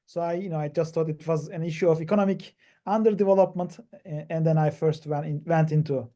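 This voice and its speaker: A man from Turkey giving a webinar presentation on a video call, talking haltingly with many fillers and restarts. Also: a little high, fairly even intonation, warm tone. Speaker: PhD panelist.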